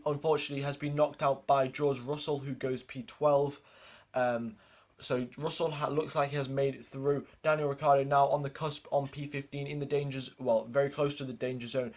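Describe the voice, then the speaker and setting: A man hosting a livestream, giving running race commentary and reading out names and positions in clipped lists. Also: medium pitch. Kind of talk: live race watchalong commentary.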